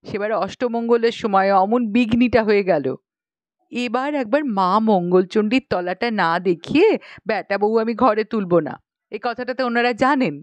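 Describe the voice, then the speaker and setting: A woman's voice: medium pitch, moderate pace, dry recording, natural voice. A woman voicing an aggrieved, bossy young matron in an audiobook story, chatty and indignant, full of rhetorical questions. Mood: defiant, bossy. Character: aggrieved, bossy young matron airing grievances about in-laws.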